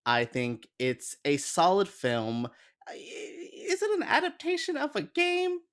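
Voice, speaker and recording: A man's voice: high voice, wildly swooping pitch, slow, a bit bright, smooth. A man chatting casually as a guest, enthusiastic and rambling into asides. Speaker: guest on a gaming chat show. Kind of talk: casual chat.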